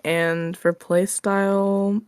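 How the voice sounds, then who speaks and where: A woman's voice: mid-range voice, level tone, extremely slow, darkish tone, smooth, no room sound, clean studio sound. A woman chatting casually as an interview guest on an online call, candid and self-deprecating in how she talks. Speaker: interview guest, audio roleplay creator. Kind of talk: casual chat.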